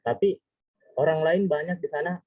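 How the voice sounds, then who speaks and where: A man's voice: a little high, fairly even intonation, moderate pace, dry recording. A man giving informal, explanatory advice to students as a webinar speaker over an online call. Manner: conversational, often checks with gitu ya. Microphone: online video call. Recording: tinny phone-like video call audio.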